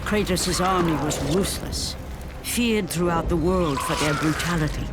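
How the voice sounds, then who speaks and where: A male actor delivering grave, dramatic narration of an ancient Greek war legend in a deliberate, solemn style. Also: on the high side, natural voice. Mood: grave, ominous. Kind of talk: solemn epic scripted narration. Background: dramatic music.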